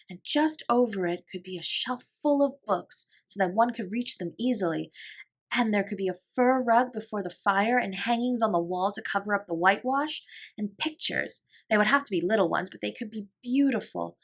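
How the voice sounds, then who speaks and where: A woman reading aloud and voicing a gentle, imaginative young girl who speaks softly and wistfully. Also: medium pitch, husky. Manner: soft, wistful, coaxing.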